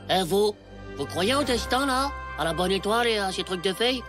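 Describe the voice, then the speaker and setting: Performer playing a ten-year-old cartoon boy, boastful, slangy, whiny, swooping intonation, loud music behind. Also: mid-range voice, expressive, fairly quick, lightly acted. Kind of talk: French animated TV comedy.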